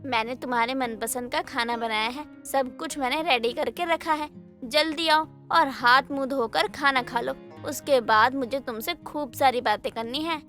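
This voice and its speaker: A woman's voice: high-pitched, normal speed, a bit bright, faint music behind. A woman playing an emotive, affectionate young wife in a story drama. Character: lonely, pleading young wife, affectionate but easily irritated. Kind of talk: Hindi moral-story audio drama acting.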